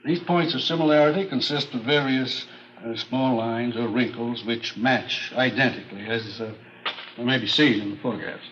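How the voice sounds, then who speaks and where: An actor playing a matter-of-fact forensic expert witness in an old radio drama, testifying in a measured, unemotional way. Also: male voice, mid-range voice, natural voice. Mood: factual, measured. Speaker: forensic chemist on the witness stand. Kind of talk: 1950s radio drama acting. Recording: muffled, noisy old radio recording.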